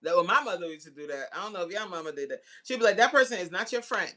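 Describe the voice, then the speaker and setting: Animated, bright-voiced woman in her forties. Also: fairly low pitch, racing. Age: 40s. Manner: giggly, animated, teasing, slangy. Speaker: livestream host. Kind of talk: casual chat with live viewers reading comments.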